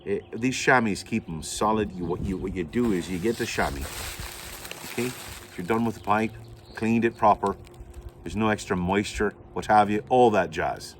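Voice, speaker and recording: A man's voice: on the low side, normal speed, a little hiss or hum. A man hosting a playful unboxing vlog, talking like a theatrical showman with mock grand announcements. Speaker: host, pipe unboxing.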